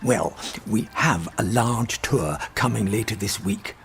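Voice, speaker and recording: An actor playing a kindly, logical old professor, speaking deliberately and formally in a radio drama. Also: male voice, lowish voice, a little hiss or hum. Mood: polite, courteous. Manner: deliberate, formal, pedantic, gently exasperated.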